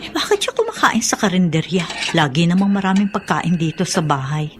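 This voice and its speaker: A woman's voice: fairly low pitch, sing-song, brisk, background noise. A woman playing a harried but dutiful middle-aged Filipina daughter, protesting and explaining in a radio drama style. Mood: concerned, questioning.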